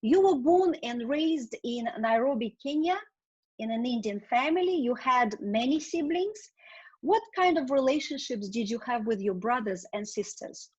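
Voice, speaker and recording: Woman interviewer, husky, measured formal questions, muffled lo-fi sound. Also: on the high side, animated, darkish tone.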